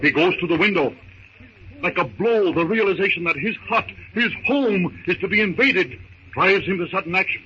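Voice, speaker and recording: A man giving dramatic scripted narration for a 1930s radio serial, theatrical and deliberate. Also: sits high, lively intonation, bright, forceful tone. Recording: noisy, muffled 1930s radio recording.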